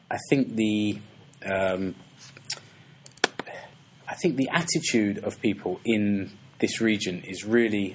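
A man hosting a casual interview podcast, talking in a relaxed way with many restarts and fillers. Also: on the low side, slight background noise, low-quality recording. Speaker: podcast host.

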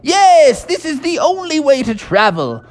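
Adult storyteller doing a high-pitched, grand toad gent, jolly and reckless, for a kids' story. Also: big pitch swings, unhurried. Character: boastful reckless old male toad gentleman. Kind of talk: improvised bedtime story.

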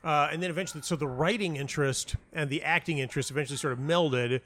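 American man reminiscing in a halting, rambling way. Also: a little high, a bit bright. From United States (North Carolina). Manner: halting, self-interrupting, rambling storyteller with repeated words. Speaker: interview guest, playwright and audio dramatist. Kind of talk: casual reflective interview conversation. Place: hotel lobby.

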